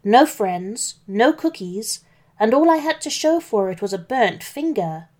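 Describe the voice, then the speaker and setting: A woman's voice: a little high, very animated, a little slow, darkish tone. A woman acts out a hungry male wolf from a children's storybook. He is a hapless schemer who speaks in a plaintive, melodramatic way. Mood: glum.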